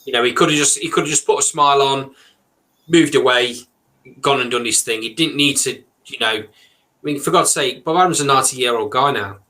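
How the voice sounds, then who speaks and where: A man's voice: mid-range voice, fairly quick, bright, forceful tone, poor audio. A man on a boxing podcast, giving blunt opinions with frequent "uh" and "um". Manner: blunt, with "uh" and "um" hesitations. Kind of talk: casual chat.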